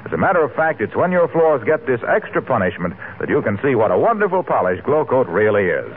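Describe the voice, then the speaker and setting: A man's voice: medium pitch, big pitch swings, fast talker, husky. A man with an American accent reading commercials and announcements as a 1940s radio announcer, in a polished, formal sales manner. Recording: tinny old radio broadcast with music.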